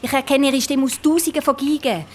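An actress with a Swiss accent playing a stubborn, impulsive violinist in a naturalistic radio play, insistent in manner. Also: female voice, high voice, brisk, a little hiss or hum, close to an everyday voice. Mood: determined.

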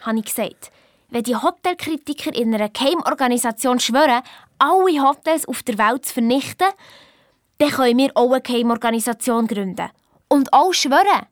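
Woman as an eager, high-voiced Swiss girl detective. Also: lively intonation, moderate pace, no room sound, clean studio sound, lightly acted. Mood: determined. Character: eager, bossy young girl detective, hotelier's daughter. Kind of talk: children's radio play, lively first-person storytelling.

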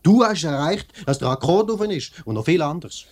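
An actor playing a plain, loyal factory worker with a Swiss German accent in a 1950s radio play. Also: male voice, a little high, animated, brisk, a little mellow. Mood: hopeful, pleading.

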